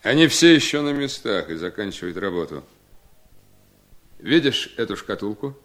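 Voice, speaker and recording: An actor in a radio drama playing an authoritative master of the house, firm and commanding. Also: male voice, lowish voice, big pitch swings, moderate pace, fairly bright tone. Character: Horace, authoritative ailing master of a Southern house. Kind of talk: Soviet radio drama acting.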